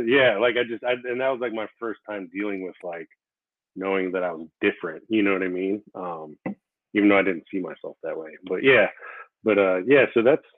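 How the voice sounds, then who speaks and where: A man hosting a casual interview show, talking in a relaxed, rambling way with many fillers; Korean was his first language. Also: sits low, fairly quick, no echo. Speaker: podcast host. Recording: tinny phone-like line.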